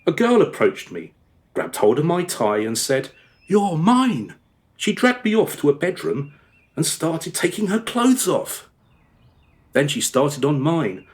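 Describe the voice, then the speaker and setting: A man's voice: a little high, sing-song, a little slow. An actor playing a remorseful married father in a radio play, confessing his past in a rambling, hesitant, over-descriptive way. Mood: wistful, reminiscing. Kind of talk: radio play dialogue, storytelling monologue.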